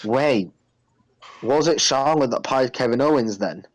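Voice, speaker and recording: A man co-hosting a podcast in casual recap chat, blunt and argumentative, quick to cut in. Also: mid-range voice. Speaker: podcast co-host.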